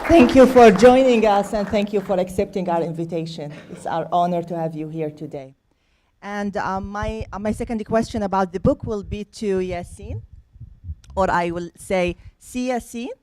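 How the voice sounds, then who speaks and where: A woman hosting a panel interview, asking questions in a measured, explanatory way. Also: medium pitch, mellow, a little hiss or hum. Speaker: host interviewing panel guests.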